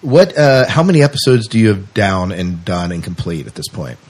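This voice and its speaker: A man's voice: deep voice, big pitch swings, normal speed, husky. A man hosting a podcast, chatting casually and putting questions to a guest. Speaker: podcast host, interviewer. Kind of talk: casual interview chat.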